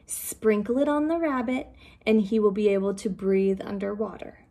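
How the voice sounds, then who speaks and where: A woman voicing a solemn pufferfish giving instructions in a storybook, hushed and instructive. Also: medium pitch, normal speed, natural voice.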